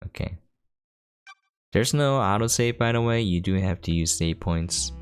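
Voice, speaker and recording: A man with a low-pitched voice talks through a video game as a streamer would. He is informal and explanatory, with faint music playing behind him. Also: lively intonation, a little slow, clear, resonant tone. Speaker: gameplay streamer, commentator. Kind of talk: casual game commentary.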